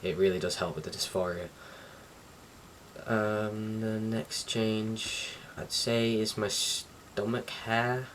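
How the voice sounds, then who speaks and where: Young guy w a low voice rambling casually, kinda far from the mic, bit of noise. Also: little pitch movement, slow, fairly bright tone. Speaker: vlogger. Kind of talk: casual chat to camera. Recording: distant mic with faint background noise.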